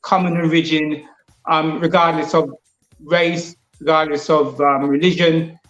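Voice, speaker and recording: A guest man speaks over a remote line with a dull, lo-fi sound in a roomy space. He often stalls and repeats words before he finds his footing, then explains at length. Soft music sits faintly underneath. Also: on the high side, flat delivery. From Jamaica. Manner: halting, repeats words as he starts answers. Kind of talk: interview.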